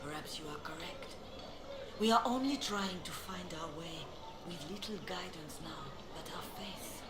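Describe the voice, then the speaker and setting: Low-voiced stern cleric played by a woman. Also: lightly acted. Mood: resigned, doubting. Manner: formal, measured, reproachful. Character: stern, weary high-ranking cleric woman, proud and doubting. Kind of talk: fantasy video game dialogue. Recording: echoey, noisy outdoor ambience.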